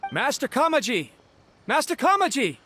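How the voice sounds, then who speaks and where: An actor playing an anxious, deferential young servant in a video game, speaking haltingly and nervously. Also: male voice, extremely high pitch, huge pitch swings, background noise, heavily acted. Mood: calling out, worried.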